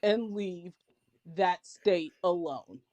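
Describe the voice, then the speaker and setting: A woman's voice: lowish voice, drawn-out words, warm tone, no echo, highs cut off. A woman chatting casually as a panelist, with a dry, sarcastic manner. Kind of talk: casual chat.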